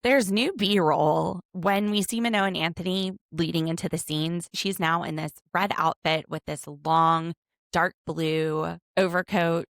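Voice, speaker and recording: A woman in her thirties hosting a casual podcast from home, chatty and quick to laugh as she picks apart a reality show. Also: lowish voice, steady tone, creaky, no echo, clean studio sound. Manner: chatty, laughs often, overanalyzing. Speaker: podcast host, attorney.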